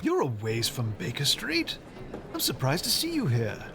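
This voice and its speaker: An actor playing an eager, friendly teacher in an audio drama, who talks in an excitable way. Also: male voice, medium pitch, moderate pace, noisy background. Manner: excitable, lively. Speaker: teacher who likes opera.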